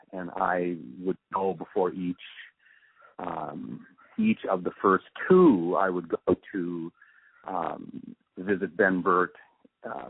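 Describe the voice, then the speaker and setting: A man with a low-pitched, rough-edged voice answers interview questions as a producer looking back. He speaks casually and thoughtfully, often breaking off and restarting his thoughts. The sound is dry and narrow, like a telephone line. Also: no echo. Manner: halting, reflective, often trailing off mid-thought. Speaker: interview guest, radio drama producer and sound mixer. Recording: muffled, narrow phone-like interview recording.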